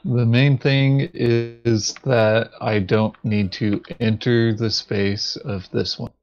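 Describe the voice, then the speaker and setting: A man's voice: mid-range voice, level tone, takes it slowly, a little mellow, lo-fi sound. A man playing a board game, chatting casually in a dry, laconic way. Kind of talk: casual gaming chat.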